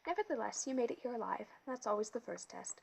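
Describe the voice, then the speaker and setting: Woman doing a gentle, learned old teacher. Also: on the high side, lively intonation, creaky, breathy edge, heavily acted. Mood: gentle, earnest. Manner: gentle, measured, patient.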